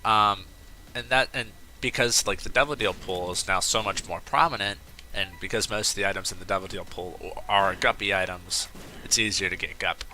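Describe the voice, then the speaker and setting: Man, let's play host, low voice, very bright and forceful tone, chatty, rambling. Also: normal speed, slight background noise. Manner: rambling, chatty, with off-topic asides. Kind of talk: casual gameplay commentary.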